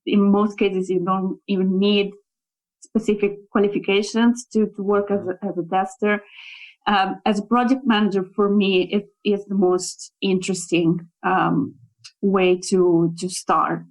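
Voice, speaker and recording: A woman's voice: medium pitch, little pitch movement, a little slow, poor audio. Italian woman guest speaking thoughtfully. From Italy. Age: young adult. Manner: thoughtful, with frequent fillers and restarts. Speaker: guest, localization project manager. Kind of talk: casual interview conversation.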